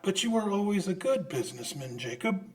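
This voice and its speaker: A man's voice: high voice, very animated. A man playing a crotchety, stubborn old miser who stammers and exclaims in an old-time radio drama. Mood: wary, puzzled. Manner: halting, exclamatory, stammering in fright.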